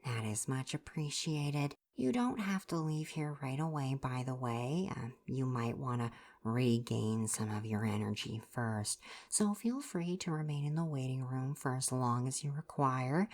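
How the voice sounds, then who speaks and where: A woman's voice: rumbling low, expressive, unhurried, hardly any pauses, darkish tone, heavily acted. A woman playing an eccentric, chatty ancient Greek healer god in an ASMR roleplay, rambling and musing in politely formal speech with casual asides. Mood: brisk, reassuring. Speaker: doctor.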